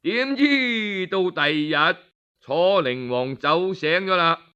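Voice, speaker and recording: Cantonese guy doing old-style storytelling, highish bright voice, kinda dull recording. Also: takes it slowly, clean tone. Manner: expressive, colloquial, acts out dialogue. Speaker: storyteller narrator. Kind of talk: traditional Cantonese oral storytelling of historical tales.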